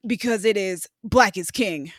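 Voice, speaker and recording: A man's voice: high-pitched, unhurried, sharp tone, no echo, studio quality. A man co-hosting a casual movie review podcast, enthusiastic and emphatic. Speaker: podcast co-host.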